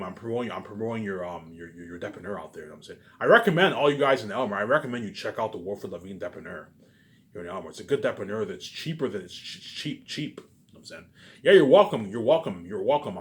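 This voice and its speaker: A very quick-talking man with a slightly low voice rambles informally, like a vlogger thinking aloud. He hits phrases hard, then drops into muttered tags, often repeating and stumbling over his own words. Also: a little hiss or hum. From Canada. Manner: repetitive, halting, with habitual trailing tags; emphatic, profane bursts dropping to muttered asides.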